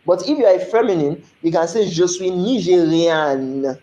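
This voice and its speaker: A Nigerian man with a slightly raised pitch teaches an online French lesson in an echoey room. He explains patiently, repeats French phrases slowly, and keeps checking that listeners follow. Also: dark tone, dull audio. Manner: explanatory, asks "you understand?" often, spells out French slowly.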